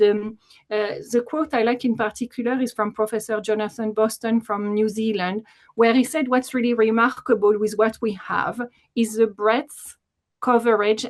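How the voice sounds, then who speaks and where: French-accented woman presenting on a webinar. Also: medium pitch. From France. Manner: enthusiastic, many fillers. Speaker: deputy commissioner presenting. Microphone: video call.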